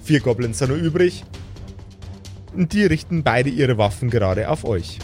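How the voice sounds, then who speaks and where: A man works as game master, narrating an improvised tabletop adventure in a dramatic, deliberate storytelling way with casual asides. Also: medium pitch, animated, darkish tone. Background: background music.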